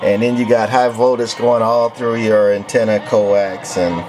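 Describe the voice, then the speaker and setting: A man's voice: fairly low pitch, level tone, measured pace, poor audio. A man giving a casual equipment walk-through in terse, matter-of-fact phrases, recorded indoors from behind a camcorder. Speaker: radio seller showing equipment.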